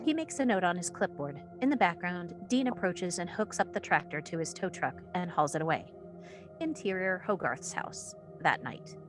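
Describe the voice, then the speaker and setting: A woman's voice: lowish voice, lively intonation, moderate pace, over music. A woman reading stage directions as the narrator of a screenplay table read.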